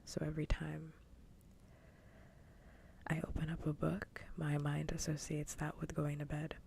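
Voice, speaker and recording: A woman whispers gently in a breathy, mellow voice with a crackly, creaky edge. She chats casually and unhurriedly as an ASMR livestream host, close to the microphone over a faint low rumble. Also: monotone, unhurried.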